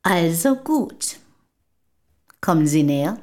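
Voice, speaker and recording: An actress in a German radio play playing a proud, self-assured middle-aged wife of a poet, speaking in formal, measured period diction. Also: female voice, lowish voice, sing-song, darkish tone. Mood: cool, proud.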